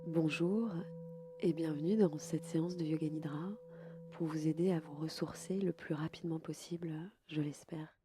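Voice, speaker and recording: A woman guiding a relaxation session slowly and calmly, in a soft, breathy voice that often nears a whisper. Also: low-pitched, background noise. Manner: calm, soothing, unhurried. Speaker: podcast host and yoga nidra guide. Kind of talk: slow, soft guided relaxation.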